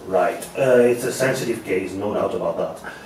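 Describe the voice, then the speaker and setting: A man reads as a guarded, world-weary private eye in a crime drama. His voice sits fairly low, heard from a distance in a very echoey room. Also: moderate pace, darkish tone, natural voice. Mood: probing, measured. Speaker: actor at a table read. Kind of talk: table read of a crime thriller script. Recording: roomy, distant mic with faint noise.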